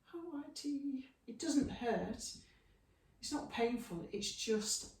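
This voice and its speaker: A woman teaching a yoga class, giving slow, soothing guidance through stretches and a guided relaxation. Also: a little high, expressive, a little mellow. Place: room, recorded at a distance from the camera mic. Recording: echoey room, mic at a distance, faint hiss.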